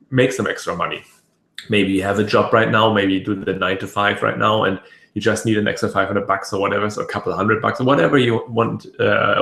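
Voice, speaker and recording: Raspy-voiced man rambling in an echoey room. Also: on the low side, fairly bright tone. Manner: rambling, many fillers and restarts. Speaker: webinar host. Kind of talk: casual live webinar talk.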